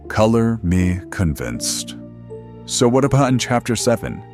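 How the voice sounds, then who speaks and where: A man acting as a late-night radio host in an audio drama. His voice is very low, over a music bed. He is sardonic and folksy, teasing whoever he talks to. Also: expressive, measured pace. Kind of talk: radio call-in show acting.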